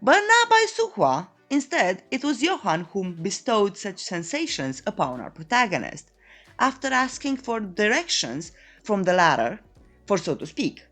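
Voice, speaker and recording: A woman's voice: medium pitch, big pitch swings, measured pace, a bit bright. A woman narrating a scripted comic recap in a playful, tongue-in-cheek, coy manner. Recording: voice-over with background music. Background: music.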